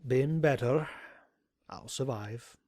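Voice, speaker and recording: A man plays an ageing male pony scholar from an audio drama. He talks slowly and deliberately, dry and a little hesitant, like a learned explorer who will not be hurried. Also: mid-range voice, mellow, dry recording. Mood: stoic. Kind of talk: audio drama character acting.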